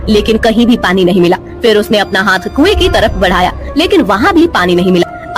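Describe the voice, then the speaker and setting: A woman narrating a scripted bedtime story as the storyteller. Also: a little high.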